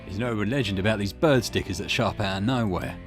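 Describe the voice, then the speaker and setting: Man playing a low-voiced, easygoing young boyfriend. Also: fast talker, faint music behind, close to an everyday voice. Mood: eager. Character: mild, dopey young boyfriend, video game character.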